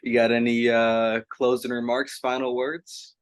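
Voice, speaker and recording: A man's voice: medium pitch, level tone, measured pace, clear, resonant tone, dry recording, clean studio sound. A man hosting a casual interview, speaking in an informal, blunt way with some swearing.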